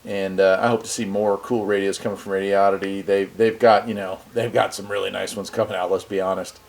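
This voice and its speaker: A man's voice: low-pitched, fairly quick. A man giving a casual, folksy product demonstration, thinking aloud as he goes. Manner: informal, folksy, thinking aloud while operating a device. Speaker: host of a radio review channel. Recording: clear steady background noise.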